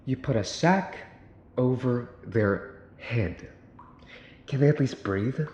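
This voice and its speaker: A man's voice: medium pitch, animated, a little mellow. A man playing a mafia boss's son in an ASMR roleplay, possessive and menacing, with theatrical sarcasm and mocking politeness. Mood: incredulous, exasperated. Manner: theatrical, sarcastic, deliberate repetition, sighing. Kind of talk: ASMR boyfriend roleplay acting. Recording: roomy echo, faint background noise.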